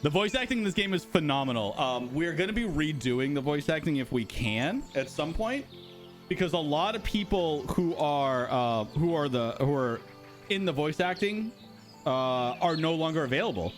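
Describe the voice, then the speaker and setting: Male game-studio streamer, casual and chatty, fairly high voice that swings widely. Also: very animated, moderate pace, soft background music. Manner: chatty, rambling, talks to chat. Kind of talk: casual live stream chat.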